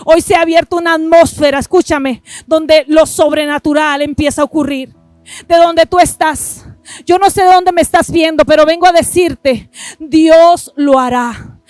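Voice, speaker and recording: High-voiced woman preaching fervently. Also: no echo. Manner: impassioned, repeats "listen to me", swings between rushed and drawn-out phrases. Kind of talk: fervent televised sermon and offering appeal.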